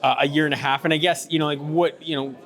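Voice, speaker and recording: A man's voice: fairly high pitch, flat delivery, quick pace, fairly bright tone, faint music behind. A male news interviewer asking long, rambling questions full of fillers and restarts. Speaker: interviewer for a mining news channel.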